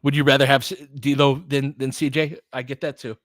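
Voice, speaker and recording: A man's voice: mid-range voice, level tone, fairly quick, fairly bright tone, no echo, clean studio sound. A man doing informal basketball play-by-play as a host, chatting conversationally and thinking aloud. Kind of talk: casual basketball commentary mixed with chat replies.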